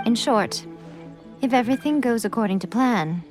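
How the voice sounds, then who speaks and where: A woman playing an elegant, shrewd fox spirit in a video game, teasing and coy, with measured, formal phrasing. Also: on the high side, animated, lightly acted. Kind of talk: scripted video game voice acting. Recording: background music under the voice. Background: game soundtrack music.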